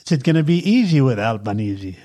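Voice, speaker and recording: A middle-aged man with a husky voice, chatting casually as a podcast host, halting with fillers. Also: medium pitch, very animated, mellow. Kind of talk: casual chat.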